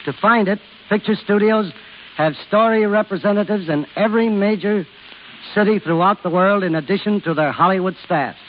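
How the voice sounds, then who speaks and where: High-voiced man reading formally, old radio. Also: moderate pace. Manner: formal read delivery. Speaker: guest speaker, film studio executive. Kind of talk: scripted 1930s radio talk. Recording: muffled, hissy old radio recording.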